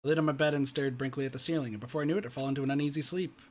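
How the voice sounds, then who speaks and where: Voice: medium pitch, steady tone, very fast, darkish tone. A streamer talking casually and a little self-deprecatingly with friends, sometimes reading out a game's narration. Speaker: gaming streamer. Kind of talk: casual group chat and game narration.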